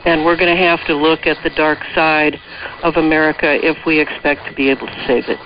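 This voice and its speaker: A man speaking as a radio interview guest, giving opinions emphatically and pausing mid-thought. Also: on the high side, little pitch movement. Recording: dull lo-fi shortwave radio relay.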